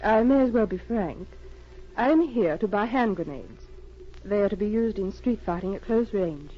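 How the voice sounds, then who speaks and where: Woman as cool female spy, crisp and frank, vintage radio drama, dull noisy recording. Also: mid-range voice, expressive, moderate pace, lightly acted. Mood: businesslike. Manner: crisp, frank, clipped sentences. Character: cool, businesslike female secret agent posing as arms buyer. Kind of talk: 1940s radio drama acting.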